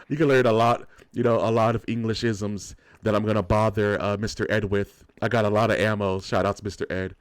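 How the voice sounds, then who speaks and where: A man co-hosting a movie podcast in a fairly low voice. He keeps things laid-back and jokey, with quick laughs between phrases. The close mic is dry, with no room sound. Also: no room sound. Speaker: podcast co-host. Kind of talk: casual podcast chat about films.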